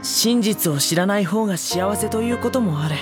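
An actress playing a mild, polite teenage schoolboy who also narrates in a drama CD. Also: female voice, mid-range voice, normal speed, over music, close to an everyday voice. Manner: polite, flustered. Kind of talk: first-person narration and dialogue.

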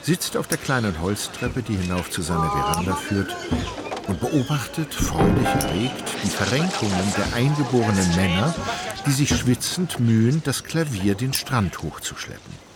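A wry literary narrator telling a radio drama. His voice is fairly low and measured, laced with irony, and prominent music runs beneath it. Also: sing-song. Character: ironic, literary male narrator. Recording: loud music under the narration.

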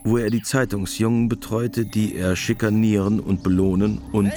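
A man narrating a radio drama from a script in a measured, matter-of-fact way. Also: on the low side, level tone, mellow. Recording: music behind the voice.

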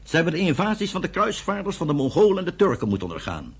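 An actor playing a principled, peace-minded man in a radio play, speaking in a measured, deliberate, reasoning way. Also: fairly high pitch, huge pitch swings, husky, exaggerated voice. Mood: earnest. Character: thoughtful, principled older brother, peace-minded land buyer. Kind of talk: Dutch radio play acting.

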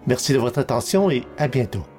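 A man's voice: mid-range voice, animated, fairly quick. A man presenting a podcast, reading out closing credits in a scripted announcer style. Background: music.

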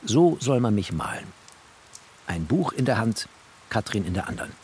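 A man's voice: on the low side, sing-song, normal speed, a little mellow, slight background noise. A man narrating a literary audio drama in a wry, descriptive way.

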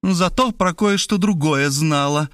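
A man voicing a haughty, sharp-tongued woman sleuth from a novel, commanding and theatrically indignant. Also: fairly high pitch, a little slow, dry recording, studio quality, pitched above the performer's own voice. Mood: triumphant, commanding.

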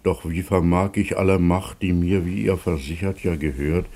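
A man's voice: low voice, steady tone, moderate pace, warm tone. A man playing a timid, doubting old god of wealth, hesitant and wary, in a German classical comedy radio play. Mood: doubtful.

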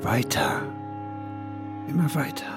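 An actor playing an enigmatic, insistent man in an audio drama, speaking deliberately with formal politeness and calm authority. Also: mid-range voice, music in the background. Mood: calmly commanding. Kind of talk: German audio drama acting.

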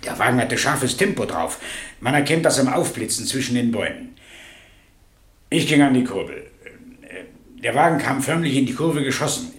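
A man's voice: mid-range voice, big pitch swings, normal speed, long pauses, close to an everyday voice. A man acting a plain-spoken railway crossing keeper in his fifties, giving clipped, colloquial testimony in a radio drama. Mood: plain, eager. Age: 50s. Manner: clipped, colloquial, matter-of-fact storytelling. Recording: roomy, echoing radio drama studio.

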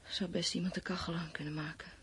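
A woman's voice: on the low side, flat delivery, fast talker, breathy edge. A woman acting in a radio play as a weary, fearful, brooding survivor of a world war's collapse. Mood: resigned, drained.

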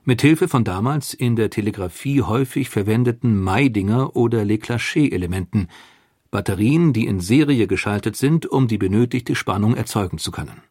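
A man's voice: on the low side, lively intonation, normal speed, a little mellow, no echo. Male documentary narrator, dry studio sound. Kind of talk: scripted narration.

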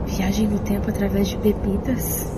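A woman's voice: medium pitch, level tone, normal speed, lightly acted. A woman playing a composed, gracious planetary president in an audio drama. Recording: loud background noise and ambience.

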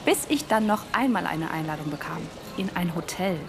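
A woman's voice: on the low side, lively intonation, normal speed, background noise. An actress playing an earnest, polite young woman in the wartime resistance, chatty and eager, in a naturalistic radio drama. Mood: candid, earnest.

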